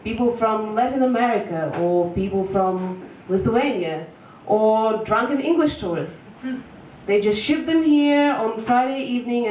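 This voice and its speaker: A woman, performing a deadpan stand-up set full of fillers and restarts, recorded live at a venue. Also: on the high side, slight background noise. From Russia. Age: 20s. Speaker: stand-up comedian. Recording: echoey lo-fi room recording from far off.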